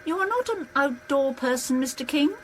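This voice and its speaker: Brisk forensic scientist lady, radio drama, high voice, really lively and teasing. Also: a little hiss or hum. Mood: wry, teasing. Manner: teasing, matter-of-fact, expert.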